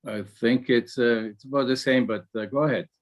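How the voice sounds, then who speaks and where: A man's voice: mid-range voice, fairly even intonation, fairly quick. Male webinar moderator on a video call. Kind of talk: casual.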